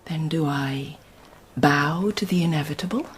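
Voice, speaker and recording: A woman's voice: sits low, unhurried, a little hiss or hum. An actress playing a proud, intelligent, outspoken young Roman noblewoman in a radio drama, poised and pointed. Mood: proud, guarded.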